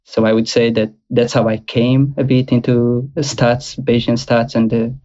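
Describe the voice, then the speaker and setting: A young man from Portugal, answering interview questions in a relaxed, thoughtful way. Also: medium pitch, normal speed, muffled recording. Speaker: guest.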